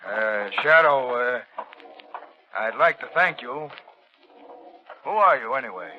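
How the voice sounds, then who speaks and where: An actor playing a gruff, blustery steamship captain in a 1930s radio drama, blunt and emphatic. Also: male voice, mid-range voice, lively intonation, slow, background noise. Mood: grateful, sheepish. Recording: dull old 1930s radio recording.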